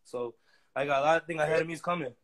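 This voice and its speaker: A man's voice: fairly high pitch, very fast, a bit bright. A man in his twenties chatting as a guest on a phone livestream, slangy and insistent, stumbling over repeated restarts. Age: 20s. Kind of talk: casual chat. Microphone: phone front camera.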